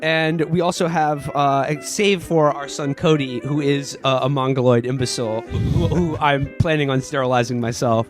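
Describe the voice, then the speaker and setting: A man's voice: medium pitch, steady tone, moderate pace, hardly any pauses. A man playing a tabletop game, casually introducing his character in a hesitant, repetitive way. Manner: hesitant, repeats words. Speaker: player in a comedy tabletop game. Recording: faint music under the voice.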